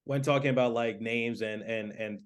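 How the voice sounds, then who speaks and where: Man, podcast host, sports announcer, from Michigan, halting, filler-heavy, medium pitch. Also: steady tone. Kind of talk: casual interview chat.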